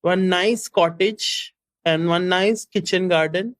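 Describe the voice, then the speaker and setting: A woman's voice: lowish voice, slow pace. A woman in her twenties with an Indian English accent, a creator guest on a podcast, chatting in a playful, self-mocking way. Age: 20s. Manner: laughing, dreamy, self-mocking. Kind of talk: casual podcast chat.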